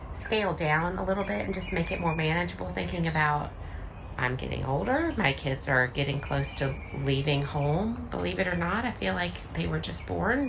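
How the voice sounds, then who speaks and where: A woman, chatting casually to her viewers in a rambling, filler-heavy way, her voice hoarse and deepened by illness. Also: noisy background. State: hoarse, deep voice after illness. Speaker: vlogger. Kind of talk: casual chat to camera. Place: home and backyard garden.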